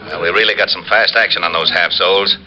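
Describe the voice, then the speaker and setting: An actor playing a businesslike police lieutenant, clipped and matter-of-fact, in an old-time radio crime drama. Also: male voice, low-pitched, steady tone, fast talker, pushed and bright, poor audio.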